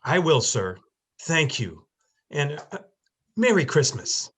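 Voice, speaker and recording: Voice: medium pitch, big pitch swings, slow pace, fairly bright tone, breathy edge, full character voice. An actor playing a timid, deferential male clerk, hesitant and polite, in a theatrical reading. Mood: meek, nervous.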